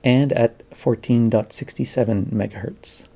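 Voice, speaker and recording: A man's voice: medium pitch, fairly even intonation, unhurried, warm tone. A man narrating his own amateur recordings in a plain, brief, matter-of-fact way. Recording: very noisy, radio hiss and clicks.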